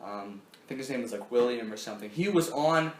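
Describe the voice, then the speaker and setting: A man's voice: mid-range voice, expressive, brisk. A young man hosting a casual podcast chat, rambling with many fillers and restarts. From Canada. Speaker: podcast host. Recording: echoey room.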